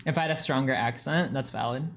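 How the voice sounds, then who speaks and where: A man's voice: mid-range voice, fast talker, gravelly, a bit bright. A young man with a New England accent, chatting casually with viewers on a live stream and rambling with lots of fillers. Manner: rambling, heavy use of "like". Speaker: streamer reading chat.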